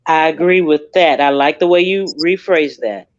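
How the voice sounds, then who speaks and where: A man on a discussion panel, speaking in a deliberate, lecturing way and repeating points. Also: a little high, clear, resonant tone, dull audio. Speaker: panel guest.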